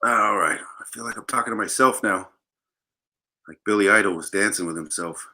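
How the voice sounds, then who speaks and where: Bright-voiced joking man. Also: fairly low pitch, brisk. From Los Angeles. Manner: joking, playful, rambling storyteller. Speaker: guest bassist. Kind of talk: casual interview chat.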